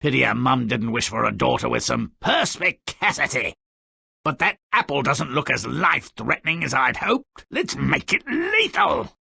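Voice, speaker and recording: A man voicing a sardonic, grotesque little dwarf storyteller in a video game, gleefully malicious and melodramatic, with a raspy, gravelly voice and a sneering, mocking delivery. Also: medium pitch, wildly swooping pitch, normal speed, sharp tone, no room sound, clean studio sound, exaggerated voice. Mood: scheming, exasperated. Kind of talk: theatrical video game character narration.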